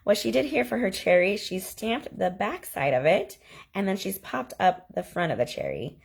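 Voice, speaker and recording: A woman hosts a crafting video in a chatty, enthusiastic way, gushing over each card she shows. Her voice sits at an ordinary middle pitch and has a slight hoarseness left over from a cough. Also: big pitch swings, normal speed. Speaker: stamping demonstrator and channel host. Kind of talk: casual crafting video walkthrough.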